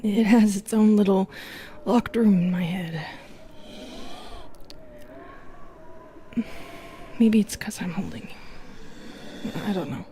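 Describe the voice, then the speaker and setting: A woman playing a bitter, lonely, seductive Medusa in an intimate audio roleplay, half-whispering in a breathy, brooding way. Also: mid-range voice, unhurried, dark tone, slight background noise, natural voice. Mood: wounded, lonely. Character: bitter, seductive, lonely female Medusa who turns people to stone.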